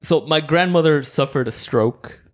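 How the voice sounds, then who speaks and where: A man from Mexico hosting a podcast, chatting casually and rambling with many "like"s and animated quoted voices. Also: a little high, a little slow. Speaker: podcast host. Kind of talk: casual chat.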